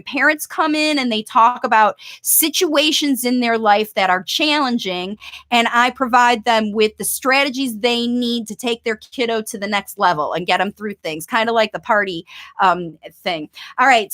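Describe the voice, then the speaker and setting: A woman's voice: high-pitched, bright, forceful tone. A woman coaching parents on language learning in a chatty, upbeat live-stream Q&A, reading out viewers' questions as she goes.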